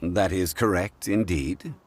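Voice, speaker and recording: An actor playing a calm, learned, quietly self-assured gentleman in a video game, speaking in a measured, formal, courteous way. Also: low-pitched, faint music behind.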